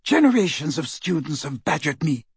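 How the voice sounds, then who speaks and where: Guy doing a proud young lady ghost, stiff n disdainful, bit husky, audiobook character. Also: a little high, sing-song, normal speed, no room sound, clean studio sound, full character voice. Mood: cold disdain. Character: haughty, proud young woman ghost, cool and guarded.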